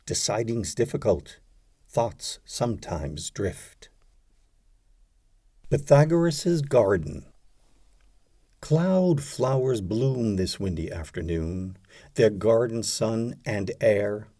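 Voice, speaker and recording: A man reading poetry for an audiobook in an expressive, deliberate way, setting phrases apart with pauses. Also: fairly low pitch. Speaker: audiobook narrator, poetry reader.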